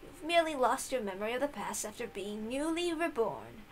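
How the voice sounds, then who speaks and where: A woman voicing a kindly female servant who reassures her young mistress in a polite, measured, soothing way. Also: medium pitch, sing-song, lightly acted. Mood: reassuring.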